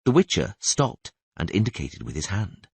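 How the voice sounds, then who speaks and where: A man's voice: lowish voice, very animated, normal speed, breathy edge, no room sound. A man reading scripted fantasy narration as an audiobook narrator.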